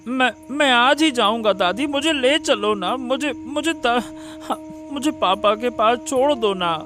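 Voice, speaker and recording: A man playing a feverish, plaintive young boy in a cartoon story, pleading haltingly. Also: very high voice, music in the background. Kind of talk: Hindi cartoon story dialogue.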